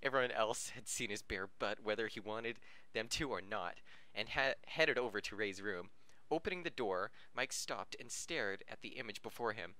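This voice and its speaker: A man's voice: fairly low pitch, lively intonation, normal speed, bright, forceful tone, no room sound. A man reading fan fiction aloud on a podcast, stumbling over words and correcting himself, often amused and breaking into laughter. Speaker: podcast reader.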